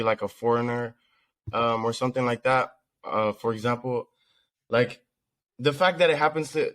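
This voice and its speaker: Slangy, storytelling man from the Netherlands. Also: medium pitch. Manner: animated storytelling with slangy asides. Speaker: podcast co-host. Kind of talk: casual podcast chat.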